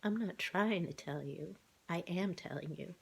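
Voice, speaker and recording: A haughty little woodpecker gentleman from a children's storybook, read by a woman. He is polite but pedantic and self-important, speaking in prim, careful phrases. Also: lowish voice, measured pace, gravelly, slightly rough, heavily acted.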